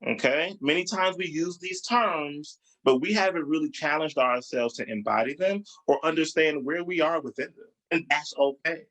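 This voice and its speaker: A man's voice: normal speed, few pauses, a bit bright, rough recording. A man leading a group training, explaining ideas and asking the group questions, speaking over a video call. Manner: encouraging, asks the group questions, rises in pitch for emphasis. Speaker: diversity trainer.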